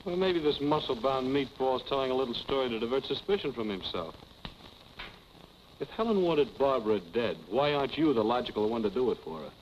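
An actor playing a sardonic, embittered husband, dry and sarcastic, in a 1940s film. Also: male voice, medium pitch, lively intonation, brisk, natural voice. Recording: muffled, faintly noisy vintage film soundtrack.

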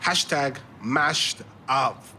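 A man's voice: medium pitch, drawn-out words, pushed and bright, exaggerated voice. An actor playing an eager, earnest young London theatre actor in an audio drama, overenthusiastic and stumbling over his words, with a London accent. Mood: earnest, pleading. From London, England. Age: young adult. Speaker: actor in a fiction podcast. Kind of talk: naturalistic audio drama dialogue. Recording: faint ambient background noise.

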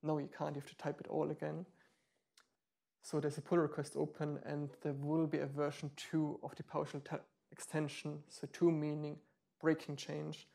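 Man, 30s, meetup presenter; dark gravelly voice, explains with ums and restarts. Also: fairly high pitch, monotone, moderate pace. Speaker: meetup speaker. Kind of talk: live tech demo talk. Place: meetup room.

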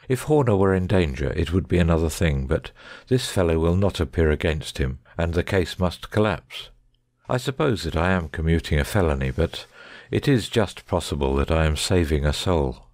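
An actor playing an authoritative, reasoning gentleman detective in a dramatic audiobook reading. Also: deep voice, lively intonation, moderate pace, creaky, no echo, studio quality. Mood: reflective, merciful.

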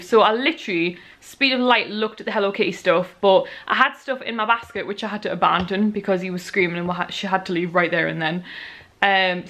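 A tired woman in her twenties with a croaky voice, chatting rambly to camera at home. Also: mid-range voice, a bit bright. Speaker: vlogger. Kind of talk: casual chat to camera. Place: small bedroom at home. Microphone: handheld camera at arm's length.

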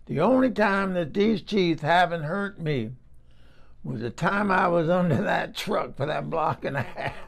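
A man voicing a gruff old man with sore dentures, speaking. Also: a little high, a little slow. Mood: grumbly.

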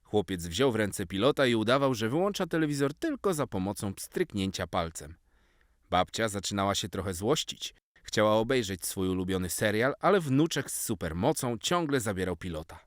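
A man narrating a scripted children's story as an expressive storyteller. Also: lowish voice, moderate pace, no room sound, studio quality.

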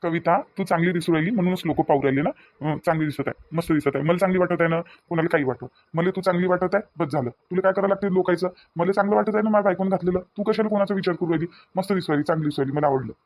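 A man's voice: sits high, very fast. A man voicing a young newlywed husband in a cartoon, doting and a little impatient, coaxing insistently in a Varhadi Marathi accent from Vidarbha. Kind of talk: cartoon dialogue acting in colloquial dialect.

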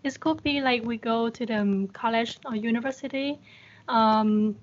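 A woman's voice: a little high, unhurried. A young adult woman giving a conversational motivational talk as a guest speaker, often posing rhetorical questions, on a video call. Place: indoors on a group video call. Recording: dull video-call audio.

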